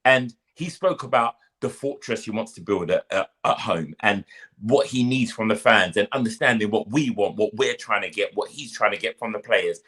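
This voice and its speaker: A man's voice: lowish voice, moderate pace, a bit bright. A man who is a fan guest, speaking emphatically and urging others on in a casual podcast chat. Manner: emphatic, rallying, speech-like.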